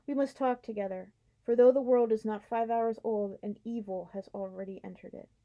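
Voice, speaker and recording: A woman voicing a wise, majestic male lion in a storybook reading, speaking in a slow, measured way. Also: on the high side, fairly even intonation, dark tone, dull audio, close to an everyday voice. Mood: solemn.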